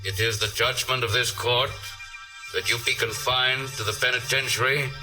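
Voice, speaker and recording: A man's voice: mid-range voice, steady tone, normal speed, bright, forceful tone, rough recording. A stern courtroom judge in a vintage radio play. He speaks solemnly and formally, with music on the old recording. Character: stern male judge pronouncing a life sentence. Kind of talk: 1940s radio acting.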